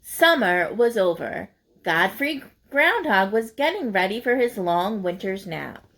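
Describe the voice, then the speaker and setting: A woman's voice: mid-range voice, lively intonation, a little slow, a bit bright. A woman reading a picture book aloud like a teacher, in a sing-song, explaining way for young listeners.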